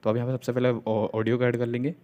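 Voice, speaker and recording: A man's voice: medium pitch, level tone, fast talker, dull audio. A young man narrating a casual step-by-step tutorial, often dropping in check-in tags and filler phrases. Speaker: video editing tutorial host. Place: voice-over on a screen recording.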